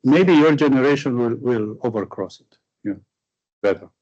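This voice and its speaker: A man's voice: mid-range voice, moderate pace, a little mellow, highs cut off. A man from Romania with a Romanian accent, answering a student's question after a lecture and searching for words in English, his second language. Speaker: visiting lecturer.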